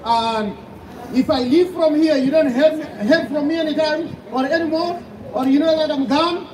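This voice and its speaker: A Sudanese-accented man in his thirties delivers a passionate speech at an outdoor rally, his voice pitched very high and firing off rhetorical questions. He is heard from far away through echoing outdoor sound. Heavy noise surrounds him. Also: moderate pace. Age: 30s. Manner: fervent, hammering rhetorical questions, at times shouting. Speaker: pastor speaking at a rally. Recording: distant, echoey outdoor sound with loud background noise.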